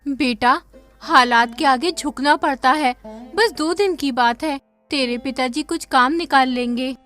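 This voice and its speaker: A tired, loving village mother in a cartoon storybook, voiced by a man. Her voice is pitched high and bright, and she sounds resigned to hardship yet fiercely protective of her children. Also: measured pace, faint music behind, heavily acted.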